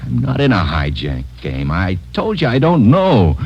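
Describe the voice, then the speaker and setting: An actor playing an exhausted, defensive hijacking suspect under interrogation in an old radio crime drama. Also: male voice, on the low side, huge pitch swings, unhurried, creaky, husky, heavily acted. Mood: defensive, agitated. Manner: protesting, agitated, weary. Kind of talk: 1940s-50s radio crime drama acting. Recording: muffled old radio recording, faint hiss.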